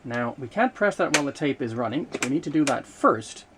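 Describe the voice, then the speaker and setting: A man giving an unscripted hands-on demonstration of vintage equipment, explaining in a measured way with hesitant pauses mid-sentence. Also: mid-range voice, expressive. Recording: noticeable background noise, room ambience.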